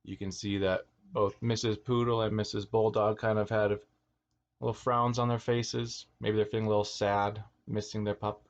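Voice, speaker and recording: A man's voice: on the low side, moderate pace, lo-fi sound. A man reading a children's storybook aloud as a teacher, expressively, with asides to his young listeners. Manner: expressive, acts out words and exclamations.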